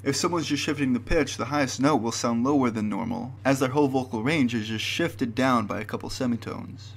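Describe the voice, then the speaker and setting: A man's voice: medium pitch, fairly quick, background noise. Man narrating a scripted explainer.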